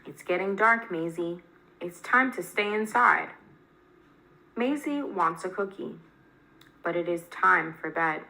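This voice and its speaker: A woman reads a children's story aloud at an unhurried pace. Her voice sits a little low for a woman and has a very bright, clear-cut edge. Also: low-quality recording. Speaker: reader of a children's picture book.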